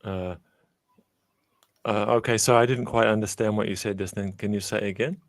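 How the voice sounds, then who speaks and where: A middle-aged man from Australia, a professor giving measured, reflective interview answers full of small pauses and fillers. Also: on the low side, steady tone, dry recording, studio quality. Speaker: guest, professor.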